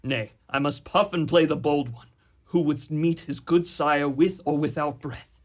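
Guy as a unwilling young prince, breathy kinda high voice, doubtful, flowery shakespeare talk. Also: steady tone, measured pace. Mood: anxious, brooding. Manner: theatrical, wordy mock-Elizabethan delivery. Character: reluctant, incredulous young Danish prince. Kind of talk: Shakespearean radio drama.

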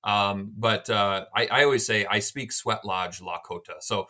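A man's voice: lowish voice, a little slow. A man in his thirties from the United States, a podcast guest and author, explaining at an easy pace. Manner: relaxed, explanatory, with fillers. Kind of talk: casual podcast conversation.

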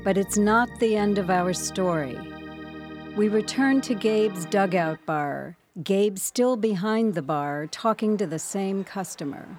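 Organ music backing, female narrator, medium pitch, scripted radio drama narration. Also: measured pace, a little mellow.

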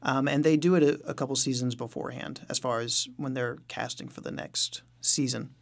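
Male musician guest, medium pitch, informal conversational storyteller in an interview. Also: steady tone, brisk, vocal fry. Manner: informal, anecdotal, quotes his own reactions. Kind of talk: casual conversational interview answers.

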